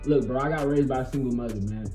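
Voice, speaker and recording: A man's voice: medium pitch, fast, dark tone, soft background music. A young American man giving a blunt, slangy, emphatic opinion monologue to camera, swearing freely in a casual way. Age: young adult. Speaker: video channel host. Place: small bedroom with bare walls. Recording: echoey small room.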